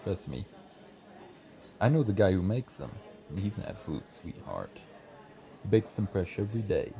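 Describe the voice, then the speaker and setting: A man's voice: sits low, big pitch swings, normal speed, creaky, warm tone, heavily acted. A man playing a shy, friendly young writer in an ASMR roleplay, chatting bashfully and earnestly, a little hesitant. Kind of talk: ASMR roleplay, soft conversational acting. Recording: busy café crowd murmur behind. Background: café crowd chatter.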